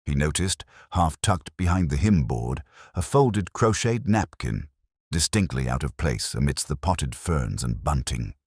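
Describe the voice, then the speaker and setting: A man's voice: very deep voice, animated, a little slow, breathy edge, no room sound, clean studio sound. A man reading scripted narration of a comic village mystery in a wry, literary storytelling manner.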